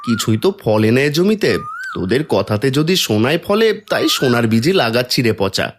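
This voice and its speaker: A man's voice: mid-range voice, sing-song, fairly quick, background noise, lightly acted. A man voicing a poor, kind-hearted village farmer, plaintive and earnest, in a cartoon folk tale. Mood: earnest, hopeful. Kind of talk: Bengali cartoon fairy tale acting.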